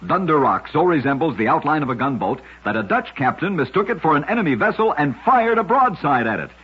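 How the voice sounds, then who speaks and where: Husky, animated male radio storyteller. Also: on the high side, brisk. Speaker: radio host and narrator. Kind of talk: scripted narration of short true oddity stories. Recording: dull lo-fi old radio recording.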